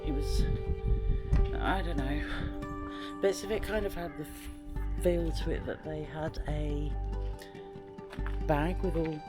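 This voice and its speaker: A woman's voice: low voice, fairly even intonation, takes it slowly, loud music behind the voice. A British woman giving casual travel vlog commentary in a wry, understated way. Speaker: travel vlogger.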